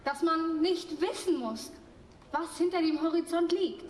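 A woman's voice: extremely high pitch, unhurried, echoey room, long reverb tail, a little hiss or hum. A woman acting in an amateur stage play, reciting in a solemn, reflective way.

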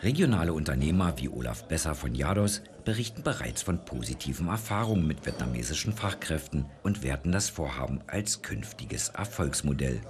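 A man's voice: very deep voice, big pitch swings, fairly quick, hardly any pauses, a crowd in the background. A man narrating a scripted regional news report as the reporter.

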